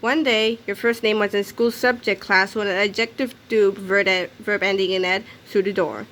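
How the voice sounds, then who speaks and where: A woman reading a children's comic book aloud in an animated storytelling style. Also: medium pitch, moderate pace. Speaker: story reader.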